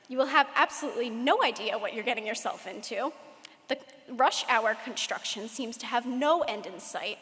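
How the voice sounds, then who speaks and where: A teenage girl giving a prepared valedictorian speech at a graduation, measured and lightly humorous. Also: fairly high pitch, a bit bright. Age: late teens. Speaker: valedictorian speaker. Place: large hall at a graduation ceremony. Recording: echoey hall with long reverb.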